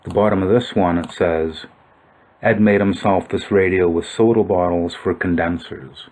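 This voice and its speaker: A man with a low-pitched voice narrates a video in an informal, explanatory style. He muses as he goes, thinking aloud as he works through what he is examining. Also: steady tone, normal speed, darkish tone. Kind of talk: informal explanatory commentary over old photos.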